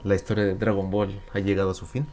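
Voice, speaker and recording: A man's voice: deep voice, level tone, quick pace, darkish tone, a little hiss or hum. A young man co-hosting a gaming video, chatting casually and explaining fighting game moves to his partner. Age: young adult. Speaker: gaming channel co-host. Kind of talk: casual gameplay chat. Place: indoor home recording space. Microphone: lapel mic and headphones. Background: game music.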